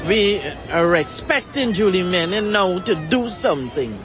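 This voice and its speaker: A woman's voice: mid-range voice, animated, normal speed, music in the background. Firm older-sounding woman at a street protest. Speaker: retired home care worker. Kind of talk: interview at a protest.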